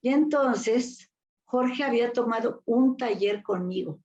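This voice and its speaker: A woman's voice: on the high side, takes it slowly, darkish tone, muffled recording. An older woman with a Mexican accent, a therapist telling stories from her career in a rambling way, full of fillers and restarts. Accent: Mexican Spanish. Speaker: guest therapist. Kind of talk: casual interview storytelling.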